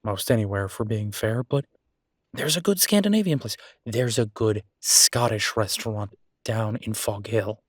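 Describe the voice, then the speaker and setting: A man playing an easygoing, passionate restaurateur boyfriend in a conversational roleplay audio drama, husky and chatty with emphatic repetition. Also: lowish voice, normal speed, dry recording, clean studio sound, lightly acted. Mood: enthusiastic, opinionated.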